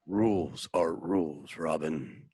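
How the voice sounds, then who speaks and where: Guy doing batman for a home radio play, super deep raspy voice, stiff and serious, dry sound. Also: slow pace, dark tone, no echo, full character voice. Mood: stern. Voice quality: very deep, husky. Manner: solemn, clipped, self-important. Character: Batman, adult male caped crime-fighter, stern and stiff. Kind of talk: amateur radio play reading.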